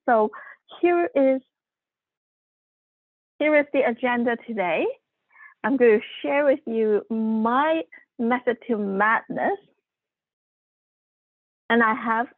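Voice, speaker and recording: A woman whose first language is Cantonese leads an online workshop, chatty and full of fillers and restarts. Her voice sits fairly high. It comes through a narrow, tinny call line with no room echo. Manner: conversational, many fillers and restarts. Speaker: workshop presenter. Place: home room, video call. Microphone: computer mic on video call. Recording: tinny phone-like audio.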